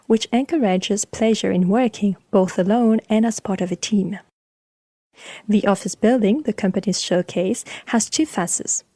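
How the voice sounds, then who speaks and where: A woman podcast host reading scripted narration about architecture in a measured, formal way. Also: medium pitch, dark tone, no room sound.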